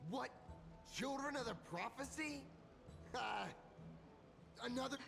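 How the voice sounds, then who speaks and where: An actor playing a bitter, scornful cynic in a video game, with a creaky voice and a sneering, dramatic delivery. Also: male voice, very high voice, takes it slowly, heavily acted. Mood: alarmed. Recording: prominent game music underneath. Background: game music.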